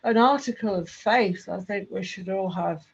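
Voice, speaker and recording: Gravelly husky lady, repeats words a lot, muffled sound. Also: mid-range voice, moderate pace. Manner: affirming, stammering repeats. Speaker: presenter. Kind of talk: discussion after a talk.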